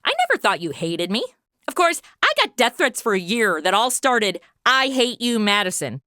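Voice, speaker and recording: A woman's voice: fairly high pitch, big pitch swings, normal speed, sharp tone, no room sound, studio quality. A woman playing a brash, flippant young woman from the future in a comedy audio drama, with breezy, sarcastic modern slang. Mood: casual, wry.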